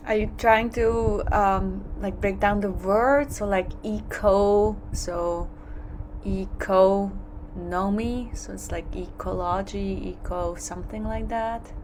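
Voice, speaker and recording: A woman's voice: medium pitch, fairly even intonation, takes it slowly, a little mellow, slight background noise, low-quality recording. A woman whose first language is Hungarian, joining a workshop on a video call and hesitantly thinking aloud. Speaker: workshop participant.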